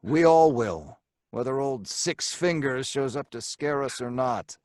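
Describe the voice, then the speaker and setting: A man's voice: medium pitch, lively intonation, unhurried, a little mellow, no room sound, studio quality, natural voice. An actor playing a cocky, slangy robber and gang leader who tells his story in old time radio crime drama style. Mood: impatient, cocky. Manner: slangy, hard-boiled, colloquial.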